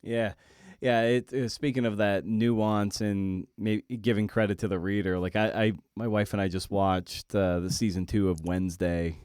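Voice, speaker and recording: A man hosting a casual interview, speaking in a halting way and repeating words as he forms his thoughts. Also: lowish voice, fairly even intonation, darkish tone, clean tone, no echo, studio quality. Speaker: podcast host, interviewer.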